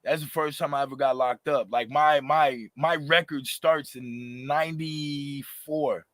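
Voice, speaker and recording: A raspy-voiced man in his thirties, a rapper talking animatedly and passionately in a casual interview, full of slang and fillers. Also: fairly high pitch, fairly even intonation, measured pace, fairly bright tone, dry recording, studio quality.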